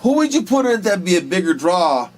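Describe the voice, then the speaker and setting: A man chatting casually as a co-host, excitable and slangy, swearing freely as he talks. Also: sits high, a little fast. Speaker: podcast co-host. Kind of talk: casual chat. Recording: clear background noise.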